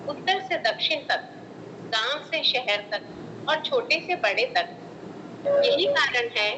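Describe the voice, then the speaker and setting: A woman hosting an online event, opening it and introducing the guest in a formal, measured way. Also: on the high side, background noise.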